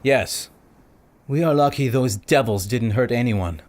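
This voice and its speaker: Male actor, dignified island king, calm and solemn, mid pitch. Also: unhurried, lightly acted. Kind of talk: radio play acting.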